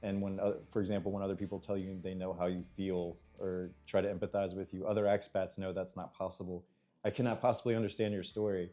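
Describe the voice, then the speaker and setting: Guest: American man, low and mellow, halting and reflective, faint music behind. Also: flat delivery. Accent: American English. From United States. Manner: thoughtful, halting, clears his throat. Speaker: interview guest, engineer. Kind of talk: reflective interview answers.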